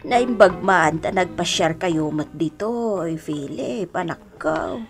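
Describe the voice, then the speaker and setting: A woman's voice: on the low side, lively intonation, slow pace. A woman playing a worried, scolding older mother and mother-in-law in a Filipino radio drama, with an Ilocano accent and a fretful, reproachful manner. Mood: concerned, gentle. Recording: music bed behind voice.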